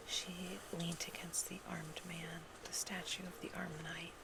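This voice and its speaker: An ASMR performer reads love poetry in a soft, intimate, unhurried whisper that is breathy with creaky edges. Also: mid-range voice, little pitch movement, very noisy. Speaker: ASMR performer reading love poems in a roleplay.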